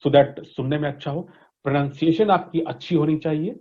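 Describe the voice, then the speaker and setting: A man's voice: on the high side, a little fast. A man with an Indian accent giving explanatory interview answers, mixing in English and checking in like a teacher. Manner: didactic, frequent check-in tags. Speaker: interview guest, voice industry expert. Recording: dull, lo-fi audio.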